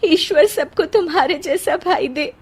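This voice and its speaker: A woman voicing a gentle, wistful married woman visiting her childhood home in a cartoon story. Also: very high-pitched, unhurried, pitched above the performer's own voice. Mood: moved, grateful.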